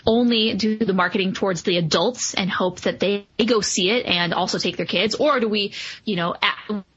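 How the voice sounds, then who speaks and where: A woman's voice: medium pitch, normal speed, fairly bright tone, husky, lo-fi sound. A woman co-hosting a podcast, talking casually as she reviews a film. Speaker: podcast co-host.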